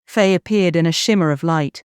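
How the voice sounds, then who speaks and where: Expressive woman reading a bedtime story. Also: on the low side, normal speed, smooth, no echo, clean studio sound. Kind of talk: scripted bedtime story narration.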